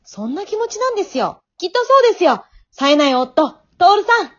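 A woman's voice: extremely high pitch, very animated, a little fast, highs cut off, pitched above the performer's own voice. A woman playing an earnest, pushy young aspiring singer in an amateur radio drama, speaking with emphatic encouragement. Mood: rousing, pushy. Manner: emphatic, exhorting.

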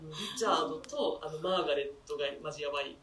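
A woman's voice: deep voice, animated, fast talker, fairly bright tone, distant mic. A woman hosting a casual, chatty round-up talk with her co-stars in a polite, easygoing way. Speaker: radio show host. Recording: roomy, off-mic, light background noise.

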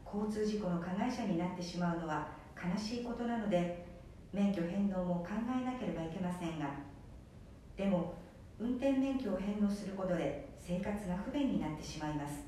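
A woman hosting a radio program, gently explaining and introducing the drama. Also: expressive, normal speed. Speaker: radio show host. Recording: echoey room, distant mic, faint rumble.

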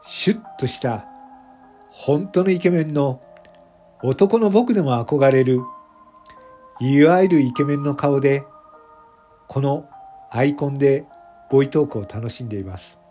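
A self-conscious factory worker who became a popular voice streamer , acted by a man confides his story in an audio drama monologue. The voice is mid-pitched, with faint music underneath. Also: a little slow, long pauses, mellow, natural voice. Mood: confiding, modestly proud. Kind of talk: confessional first-person radio drama monologue. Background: background music.

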